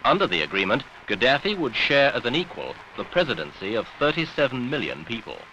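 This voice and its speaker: A man's voice: mid-range voice, very animated, brisk, sharp tone, lo-fi sound, a little hiss or hum. A British man reading scripted newsreel narration with pointed, dramatic phrasing on an old 1970s soundtrack.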